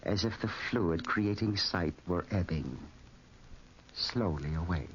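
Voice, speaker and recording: A man's voice: low-pitched, expressive, slow, fairly bright tone, exaggerated voice. A man playing a fat, sinister, falsely friendly villain in 1940s radio style, savoring his words unctuously. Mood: gloating menace. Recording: muffled, noisy 1940s radio recording.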